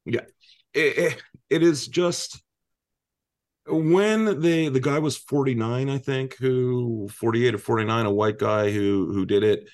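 A man in his fifties from Iran hosting a casual panel discussion, animated, laughing often and breaking into asides. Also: mid-range voice, a little slow, no room sound, studio quality. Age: 50s. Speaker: host.

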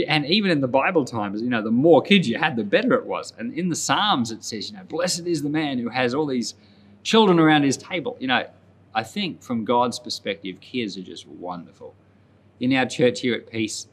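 A man's voice: medium pitch, a bit bright. A male pastor with a mid-range voice shares Bible reflections in an informal, conversational way. He leans on key points by repeating phrases for emphasis. There is some background noise in the recording. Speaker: pastor and Bible podcast host. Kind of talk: informal Bible commentary and prayer.

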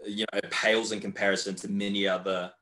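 A man's voice: on the low side, level tone, quick pace, bright, forceful tone, low-quality recording. A young man with an Australian accent, talking candidly and at length as a podcast guest, swearing freely and rambling through his points. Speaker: guest powerlifter. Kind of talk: casual podcast chat.

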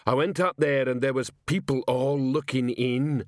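A stolid, slow-witted troll of the city watch, as a male narrator plays him, plodding and stoical in a fantasy storybook. His grammar is rough and the sound is dry and close. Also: mid-range voice, fairly even intonation, no room sound, voice pushed up, close to an everyday voice.

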